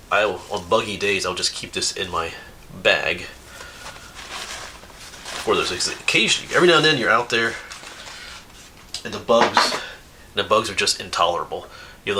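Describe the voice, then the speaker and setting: A man's voice: low voice, noisy background. A man, sniffly from a cold, chatting casually and rambling as he opens viewer mail at home on camera, laughing often. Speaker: outdoors YouTuber reading viewer mail. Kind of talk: casual chat. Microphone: camera mic.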